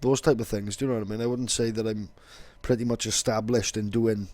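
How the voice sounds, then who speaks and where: A man's voice: on the low side, steady tone, a little fast, a little mellow, dry recording. A young man with a Welsh accent, casually explaining in a rambling, sweary way with lots of fillers. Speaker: vlogger.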